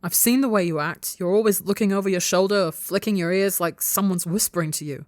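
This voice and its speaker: A woman's voice: on the low side, unhurried, no room sound, studio quality, lightly acted. A woman voicing a hot-tempered but guilt-ridden young man in a dramatic story reading, stammering in broken restarts. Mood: angry, snapping. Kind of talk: dramatic audiobook-style character dialogue.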